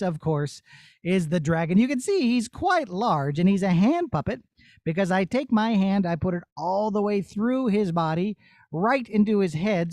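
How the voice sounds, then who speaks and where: High-voiced man presenting puppets to children. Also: a little mellow, dry recording. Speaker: puppeteer teaching a class. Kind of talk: demonstration for children.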